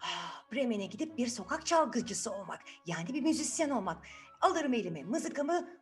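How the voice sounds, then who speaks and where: A woman's voice: fairly high pitch, big pitch swings, moderate pace, soft background music. A woman voicing an aging donkey, a friendly and eager dreamer with a bubbly, exclaiming manner, in a children's fairytale. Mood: dreamy, hopeful.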